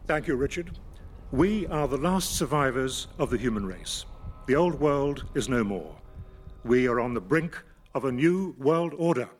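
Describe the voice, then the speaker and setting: A British man playing a grave newsreader announcing the apocalypse in a mock radio bulletin, solemn, formal and deadpan. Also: mid-range voice, unhurried, natural voice. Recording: noisy live theatre recording.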